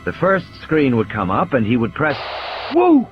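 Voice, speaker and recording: A man's voice: mid-range voice, sing-song, normal speed, highs cut off. A man reading dramatic, scripted audiobook narration as the narrator of a story. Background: music bed.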